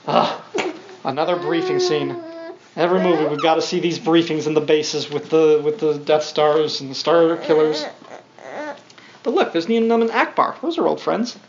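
A man's voice: a little high, normal speed. A man reads a picture book aloud as a father would to a young child. He moves between storybook narration and casual, playful commentary with a wry edge. The recording is lo-fi and dull, with faint noise behind the voice. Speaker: podcast host and father reading aloud to his young son.

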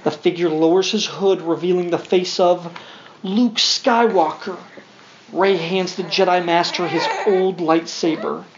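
A man's voice: high-pitched, fairly even intonation, unhurried. A man reads a picture book aloud as a father would to a young child. He moves between storybook narration and casual, playful commentary with a wry edge. The recording is lo-fi and dull, with faint noise behind the voice. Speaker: podcast host and father reading aloud to his young son.

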